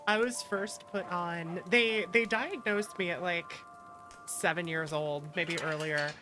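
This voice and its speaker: A streamer chatting casually and candidly while playing a game, in a halting way. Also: medium pitch, steady tone. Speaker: streamer, host. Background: music.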